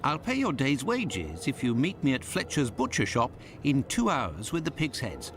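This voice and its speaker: An actor playing a sharp, probing detective, recorded as game audio with noise behind. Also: male voice, medium pitch, wildly swooping pitch, moderate pace. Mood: questioning. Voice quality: crisp, probing questions. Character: sharp, clipped adult male detective. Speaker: game character. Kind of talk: video game voice acting.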